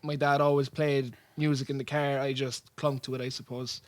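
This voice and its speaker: A man's voice: mid-range voice, level tone, normal speed, no room sound. A young man with a Cork Irish accent, a singer chatting casually about his band, rambling and laughing often. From Ireland. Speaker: singer and bandleader. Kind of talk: casual interview chat.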